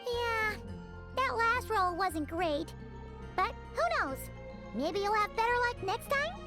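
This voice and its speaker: A woman's voice: very high voice, animated, takes it slowly, heavily acted. A woman voicing a tiny, childlike, eager fairy companion in a video game, with a bubbly manner. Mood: upbeat, encouraging. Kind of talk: video game character dialogue. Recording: music playing behind.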